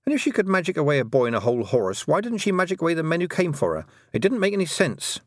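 Man voicing an earnest, high-pitched young girl. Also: very animated, quick pace, no echo, clean studio sound. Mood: indignant. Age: child. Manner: hesitant openings, then breathless rush of detail. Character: earnest young girl, stubborn, anxious, blurting. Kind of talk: audiobook character dialogue.

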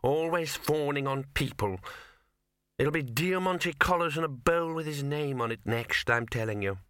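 Man as a cat, haughty, sarcastic, sneering, bright-voiced, storybook character. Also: fairly high pitch, moderate pace, clean tone, dry recording, clean studio sound, pitched above the performer's own voice, close to an everyday voice.

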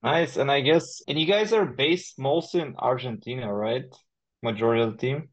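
A man's voice: medium pitch, moderate pace. A man hosting a podcast interview in a casual, informal way, chatting loosely as he puts his questions. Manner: informal, frequent "like" and "I feel". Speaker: podcast host. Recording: slightly dull lo-fi call audio.

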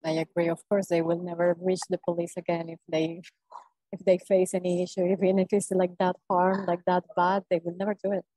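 A woman's voice: lowish voice, little pitch movement, a little fast, dark tone, dry recording, rough recording. A woman interviewer reading prepared questions carefully and hesitantly, with many "um"s.

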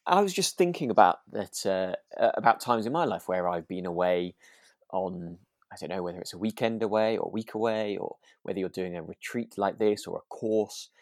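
A man with a low, gravelly voice co-hosts a relaxed review chat. He talks tentatively, feeling his way through his thoughts, and the sound is close and dry. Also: fairly quick, no echo. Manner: hesitant, tentative, hedging. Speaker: podcast co-host.

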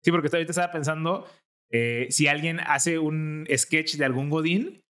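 Young male co-host, Jalisco accent, relaxed chatty delivery. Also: fairly high pitch, moderate pace. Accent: Mexican, from Jalisco. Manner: conversational, many fillers, thinks aloud. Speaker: podcast co-host. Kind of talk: casual chat.

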